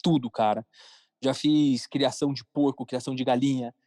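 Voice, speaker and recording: A man's voice: mid-range voice, steady tone, normal speed, a little mellow, no room sound, studio quality. A man with a Brazilian accent answering interview questions in an informal, explanatory conversational way. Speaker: podcast guest, cartoon creator and entrepreneur.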